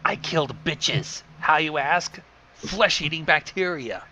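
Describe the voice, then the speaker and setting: A man plays a silly, excitable teenage cartoon girl. The voice is pitched up and bright, playful and a bit smug, with lively swings. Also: slow, a little hiss or hum, full character voice.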